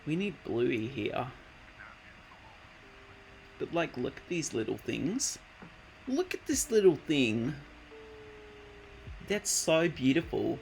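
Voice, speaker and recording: A man's voice: mid-range voice, very animated, slow pace, creaky, long pauses, darkish tone, a little hiss or hum, poor audio. A man in his late twenties chatting casually on a live stream, rambling with lots of fillers and easily delighted. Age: late 20s. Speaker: art streamer.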